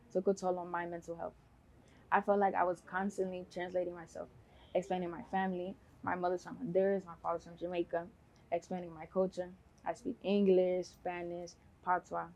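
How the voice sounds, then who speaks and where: Young American woman, measured earnest speaker, lowish flat delivery. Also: poor audio. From United States. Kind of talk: prepared personal speech.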